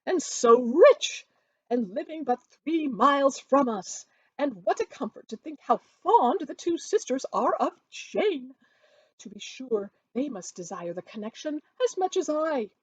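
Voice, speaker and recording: A woman's voice: sits high, lively intonation, unhurried, no room sound, voice pushed up. A woman voicing a gushing, boastful middle-aged mother in a Regency storybook, effusive and exclaiming, with stretched, emphatic words.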